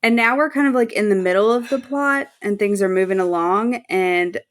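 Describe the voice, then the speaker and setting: A woman's voice: medium pitch, normal speed. A woman co-hosting a podcast in casual chat, often chuckling as she talks. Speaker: podcast co-host.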